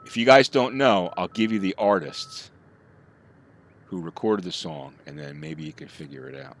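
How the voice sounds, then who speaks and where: A man's voice: sits low, normal speed, a little hiss or hum. A middle-aged man chatting casually with his live chat while he plays, rambling from topic to topic. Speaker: streamer.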